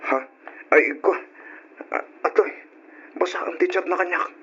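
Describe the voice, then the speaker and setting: An actor playing a hesitant, guilty young boyfriend in an old-fashioned radio drama. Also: male voice, high-pitched, a little slow, a bit bright, slightly rough, full character voice. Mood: distracted, uneasy. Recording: muffled old radio recording.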